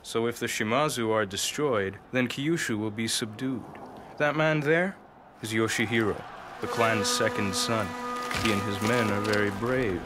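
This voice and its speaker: Man acting a low-voiced samurai briefer. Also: normal speed, background noise, close to an everyday voice. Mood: grave. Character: sober male samurai briefing on enemy clans. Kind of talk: dramatic video game cutscene acting.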